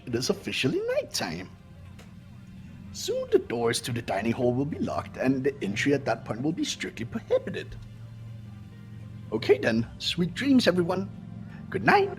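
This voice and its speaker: Mascot game announcer, mock-cheerful, sinister, singsong, extremely animated pitch, music underneath. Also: male voice, exaggerated voice. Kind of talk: amateur dub of a visual novel game.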